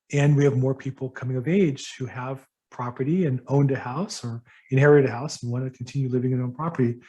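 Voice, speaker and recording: Mellow-voiced man giving halting advice. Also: fairly high pitch. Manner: halting, many ums and restarts. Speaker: real estate broker hosting a workshop. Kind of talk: casual advice to attendees.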